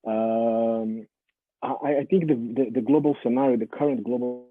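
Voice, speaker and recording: A man from Brazil, a guest artist on a video-call panel, speaking haltingly with many fillers and restarts. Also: medium pitch, steady tone. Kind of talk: panel discussion. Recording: muffled phone-like line, dry.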